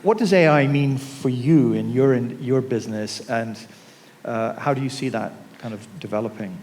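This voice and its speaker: A man's voice: mid-range voice, moderate pace, a little mellow, slight background noise. A man moderating a conference panel, asking questions with fillers and restarts. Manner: hesitant, with filler words and restarts. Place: conference hall. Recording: reverberant hall with faint ambience.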